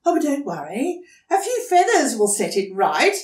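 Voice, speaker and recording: A woman's voice: high-pitched, wildly swooping pitch, normal speed, darkish tone, echoey room, far from the mic, voice pushed up. A woman voicing a graceful, coy female emu in a storybook, fluttery and affected. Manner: airy, affected.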